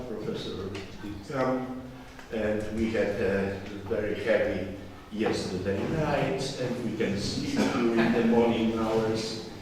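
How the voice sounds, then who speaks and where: An older man from Poland, a professor and former ambassador, running a workshop lecture in English and teasing the audience with questions. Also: lowish voice. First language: Polish. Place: university lecture hall. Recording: echoey lecture hall from far off, noisy.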